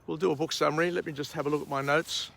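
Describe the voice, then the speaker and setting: A middle-aged Australian man with a croaky voice after a night out, hosting a rambling outdoor morning vlog and book summary at a vineyard. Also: a little high, fairly even intonation, a bit bright. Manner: slow, rambling, frequent uh and um. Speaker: vlog host.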